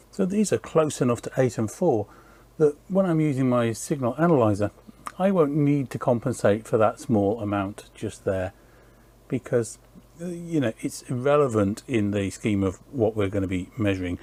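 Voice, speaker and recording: A man's voice: medium pitch, mellow. A man in his workshop, casually explaining an electronics build in a technical, matter-of-fact way, thinking aloud with fillers. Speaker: electronics maker and channel host.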